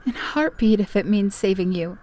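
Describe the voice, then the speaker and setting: An actress playing a determined, self-sacrificing grown daughter in a horror audio drama, speaking with earnest, hushed intensity. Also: female voice, mid-range voice, fairly quick, very noisy, natural voice. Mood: tender, resolute.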